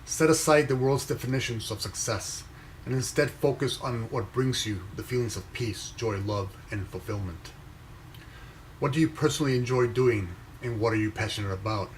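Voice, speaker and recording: A man raised in Venezuela speaks in a slow, measured, reflective way, breaking his sentences into short phrases with pauses. His voice sits at a middle pitch, with a faint hiss of background noise. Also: poor audio. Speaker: inspirational speaker reading his own post. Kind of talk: reflective motivational reading and commentary.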